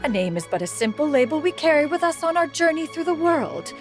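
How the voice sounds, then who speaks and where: A woman's voice: high-pitched, very animated, a little fast. An actress voicing a proud, ancient female immortal in a video game, formal and aloof, calling herself "one". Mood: haughty. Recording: game audio with faint music behind.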